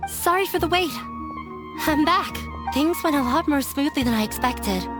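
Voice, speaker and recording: A woman's voice: sits high, expressive, moderate pace. An actress playing a composed, matter-of-fact young woman in a video game. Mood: composed, curious. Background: background music.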